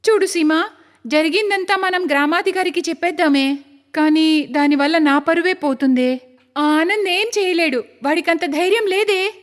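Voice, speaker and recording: A woman's voice: extremely high pitch, normal speed. A woman voicing an innocent, indignant young village woman in a cartoon moral story, emotional and earnest. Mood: distressed.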